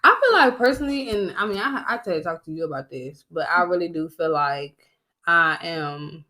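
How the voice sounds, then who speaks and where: A woman in her twenties co-hosting a podcast in casual chat, animated and often laughing. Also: lowish voice, normal speed. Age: 20s. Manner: animated, addresses listeners as "y'all", laughs often. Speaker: podcast co-host.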